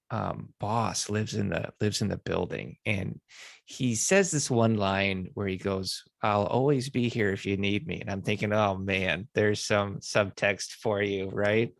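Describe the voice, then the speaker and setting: Podcast host: male, very low and gravelly, easygoing. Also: no room sound. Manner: relaxed, many fillers and restarts. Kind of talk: casual podcast interview.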